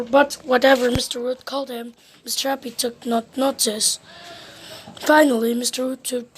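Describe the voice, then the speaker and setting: A woman narrates a children's storybook in a high voice. She reads slowly and expressively, with deliberate phrasing, over faint background noise. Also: rough recording. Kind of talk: children's read-aloud, scripted narration.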